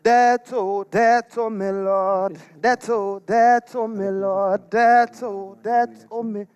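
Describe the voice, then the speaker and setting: A woman's voice: medium pitch, fairly even intonation, takes it slowly, a little mellow, smooth, soft background music, natural voice. A woman playing a gossipy village woman in a theatrical comic stage monologue, with a Trinidadian accent and emphatic, melodramatic delivery. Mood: wailing lament. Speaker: dramatic monologue performer.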